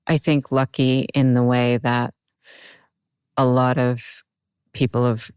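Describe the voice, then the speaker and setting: A woman with a deep voice, chatting reflectively as an interview guest and laughing easily. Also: flat delivery, slow pace, darkish tone, smooth, dry recording, clean studio sound. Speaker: guest actor. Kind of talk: casual interview chat.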